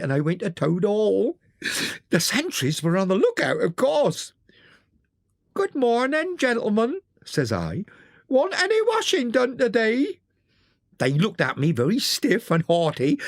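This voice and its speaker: A reader voicing a cheery, excitable male mole in a storybook. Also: medium pitch, no room sound, clean studio sound, pitched above the performer's own voice, heavily acted. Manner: exclamatory, eager storytelling.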